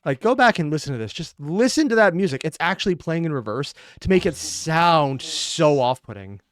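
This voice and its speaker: Animated, dry-sounding man reacting casually. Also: on the high side, moderate pace, no room sound. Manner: sweary, exclamatory. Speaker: reaction video host.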